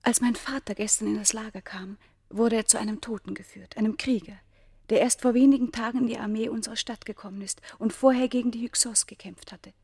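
A woman acting a governor's noble daughter of ancient Egypt, passionately in love, with formal, breathy, poetic delivery in a German radio play. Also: a little high, fairly even intonation, brisk, dark tone. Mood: anxious, sorrowful.

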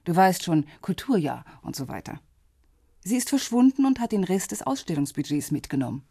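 A cool, self-assured woman detective in her forties in a radio crime play. Her voice is lowish and husky, very dry in the studio, and her delivery is matter-of-fact and businesslike. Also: big pitch swings, fairly quick, mellow, no echo, full character voice. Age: 40s. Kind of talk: radio crime drama dialogue.

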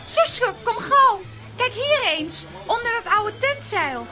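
A woman's voice: very high voice, animated, takes it slowly, pushed and bright, soft background music, a faint crowd, heavily acted. An actress playing a sassy, stubborn, eager little girl in a children's radio play. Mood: excited. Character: feisty, stubborn, curious little girl heroine.

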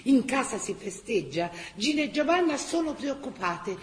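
An actress with a Tuscan accent playing an earthy, expressive storyteller in a theatrical wartime radio drama. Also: female voice, on the high side, normal speed. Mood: tender, wry. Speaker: actress-narrator. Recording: roomy stage sound, faint background noise.

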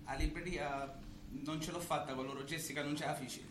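A man playing a hapless, defensive small-time Sicilian gangster in a comic stage play, excitable, with a Sicilian accent. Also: fairly high pitch, a little fast, lightly acted. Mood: sheepish. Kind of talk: theatrical comic stage acting. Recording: echoey stage recording with faint noise.

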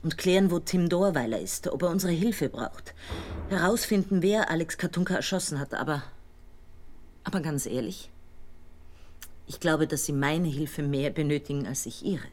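An actress playing a calm, controlled intelligence colonel who questions a suspect in a radio drama. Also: female voice, sits low, normal speed, close to an everyday voice. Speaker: intelligence officer.

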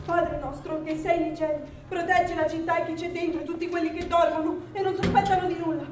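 Very high-voiced woman playing a theatrical storyteller. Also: level tone, quick pace. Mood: pleading prayer. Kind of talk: declamatory stage theatre acting. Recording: echoey stage, distant mic, music behind.

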